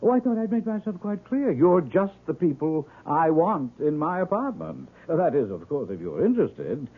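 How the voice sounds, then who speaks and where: An actor playing a genteel landlord with gleeful malice under courtly, fussy charm in an old-time radio drama. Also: male voice, on the high side, very animated, normal speed, slightly rough, full character voice. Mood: coy, solicitous. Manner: courtly, fussy, hesitant, with sly chuckling delivery. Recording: muffled narrow-band old radio recording.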